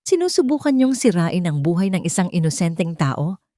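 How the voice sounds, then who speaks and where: A woman's voice: fairly low pitch, big pitch swings, normal speed, dark tone, no echo, clean studio sound. A woman voicing a betrayed, furious father who confronts his mother-in-law in a cold, menacing, accusing way. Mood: furious accusation.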